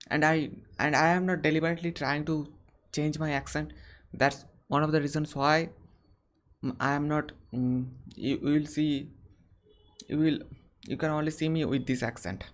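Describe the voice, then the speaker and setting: A young man answers viewer questions on a live stream from a small room. He speaks earnestly and haltingly, often restarting his sentences, and is recorded on a webcam. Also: a little high, steady tone. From India. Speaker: English-learning channel host.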